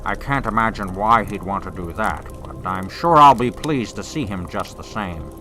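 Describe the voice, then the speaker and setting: Man playing a solemn, low-voiced devout patriarch. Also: lively intonation, normal speed, sharp tone, soft background music. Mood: courteous, earnest. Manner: formal, solemn. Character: devout, steadfast biblical patriarch, grieving yet faithful father. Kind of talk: radio drama acting.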